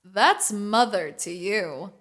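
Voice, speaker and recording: A woman playing a middle-aged ewe, a mellow hippie mother who teases in a laid-back way, in an audio drama. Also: medium pitch, animated, slow pace. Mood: teasing. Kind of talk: audio drama character acting.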